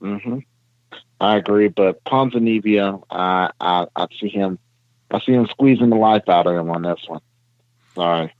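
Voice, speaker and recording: A man co-hosting a casual podcast, talking through fight picks in a playful, animated way. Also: on the low side, a little slow, dry recording, phone-quality sound. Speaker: podcast co-host.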